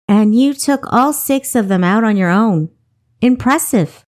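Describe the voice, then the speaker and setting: A woman's voice: a little high, expressive, a little slow, darkish tone, pitched above the performer's own voice. Woman voicing a stern, fussy ship's officer. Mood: grudgingly impressed. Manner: demanding, pointed. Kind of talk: audiobook character voice.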